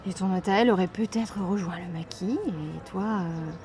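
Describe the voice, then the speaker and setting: A woman's voice: on the low side, normal speed, warm tone, a little hiss or hum, close to an everyday voice. An actress playing a curious, informal young woman in natural conversational audio drama dialogue. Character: curious young female confidante, imaginative and informal.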